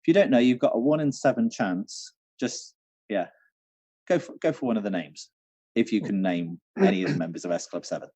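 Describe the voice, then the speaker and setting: A man's voice: mid-range voice, very animated, a little fast, gravelly, dark tone, dry recording. A man hosting a casual live quiz from home, chatty and repeating questions for listeners, on a dull livestream recording. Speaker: quiz host, theatre venue manager.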